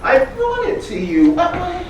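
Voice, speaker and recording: A man performs a troubled, drunk grown son in a staged play reading. He stammers and rambles apologetically, his pitch swooping up and down. The sound is distant and very echoey, with faint noise. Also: expressive, takes it slowly, heavily acted. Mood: eager, drunk. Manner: stammering, muddled. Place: reading before audience in a roomy venue.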